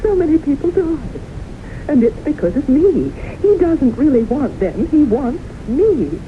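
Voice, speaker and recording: An actress playing a haunted, earnest woman in a 1970s radio drama, speaking with halting, deliberate intensity. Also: high-pitched, very animated. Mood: agitated. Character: haunted, earnest woman convinced death pursues her. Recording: noisy, muffled 1970s radio broadcast recording.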